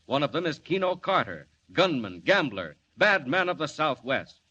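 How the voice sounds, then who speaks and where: A man's voice: fairly high pitch, very animated, normal speed, pushed and bright, slightly rough. Dramatic old-time radio announcer, man. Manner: dramatic, sweeping delivery. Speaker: narrator and announcer. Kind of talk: dramatic 1940s radio narration. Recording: dull old radio recording with hiss.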